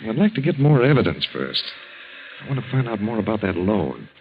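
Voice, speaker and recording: A man's voice: lowish voice, big pitch swings, a little fast, gravelly. An actor playing an earnest, determined young man investigating a plot in a 1940s radio drama. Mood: determined, reassuring. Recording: muffled, hissy 1940s radio recording.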